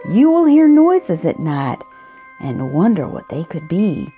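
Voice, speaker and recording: A woman reading a children's picture book aloud, expressively, with drawn-out emphasis on key words. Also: on the low side, big pitch swings, phone-quality sound. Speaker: storybook reader. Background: music.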